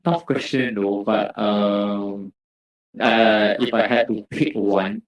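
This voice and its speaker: Malaysian English male guest, lowish voice, laid-back, roomy echo. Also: fairly even intonation, slow pace. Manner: laid-back, trails off, "lah" endings. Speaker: podcast guest. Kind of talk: casual interview chat.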